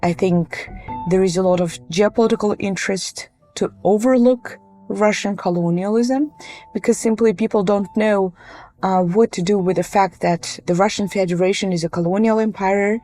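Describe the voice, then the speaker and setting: A woman giving an expert's explanation in an interview. Her voice is dark and mellow at a medium pitch, and she makes each point deliberately, with faint music behind. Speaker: academic expert guest.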